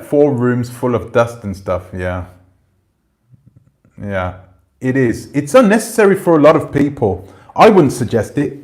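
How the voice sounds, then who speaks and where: A man in his forties chatting casually on a livestream, rambling and relaxed, swearing now and then. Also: lowish voice. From Jamaican family roots. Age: 40s. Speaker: channel host. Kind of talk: casual livestream chat reading comments.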